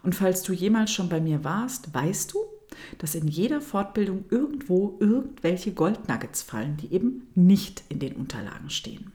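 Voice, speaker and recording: Woman, German accent, podcast host, soft intimate storytelling, lower pitch. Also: very animated, normal speed. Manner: soft, intimate, often near a whisper. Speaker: podcast host, dance teacher. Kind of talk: storytelling monologue.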